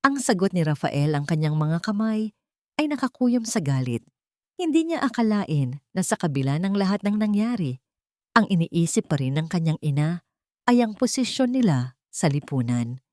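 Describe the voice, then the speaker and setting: Female narrator for scripted audio fiction: suspenseful dramatic delivery, slightly low pitch, very dry sound. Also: a little mellow, dry recording. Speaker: storyteller, narrator.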